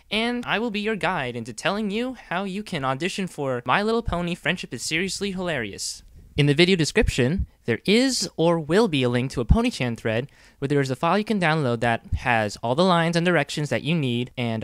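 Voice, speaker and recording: Man, middle-pitched, dry close sound, casual tutorial host giving audition instructions. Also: fairly bright tone, smooth, no room sound. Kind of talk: casual scripted tutorial narration.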